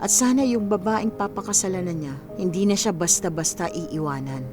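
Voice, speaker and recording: A woman's voice: fairly low pitch, moderate pace, music in the background, close to an everyday voice. A woman playing a tender, worried middle-aged mother in a radio drama.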